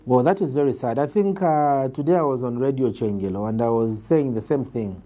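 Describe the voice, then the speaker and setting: A man with a Zambian accent answering political interview questions in a measured, formal, emphatic way. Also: mid-range voice, dark tone. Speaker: political interviewee, party leader.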